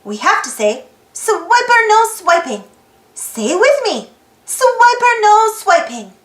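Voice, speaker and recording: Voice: very high voice, very animated, takes it slowly, a bit bright, roomy sound. A storybook reader with an exclaiming manner, reading aloud for children and prompting young listeners with questions. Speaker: storybook reader and channel host.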